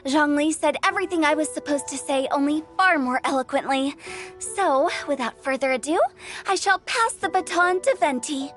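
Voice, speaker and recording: A woman's voice: high voice, moderate pace, fairly bright tone, soft background music. A woman voicing a cheerful teenage girl director who hosts with eager, theatrical flair in a video game. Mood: bright, playful. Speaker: event host.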